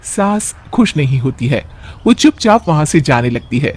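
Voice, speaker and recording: A man's voice: on the high side, sing-song, moderate pace, a little mellow, breathy edge. A man narrating a scripted moral bedtime story as a storyteller.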